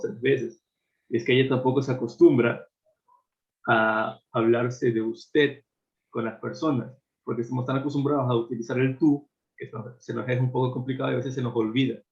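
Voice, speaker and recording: Hesitant Italian-born student, lo-fi audio. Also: male voice, medium pitch, steady tone, brisk, a little mellow. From Italy. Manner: hesitant, with restarts. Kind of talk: telling an anecdote in class.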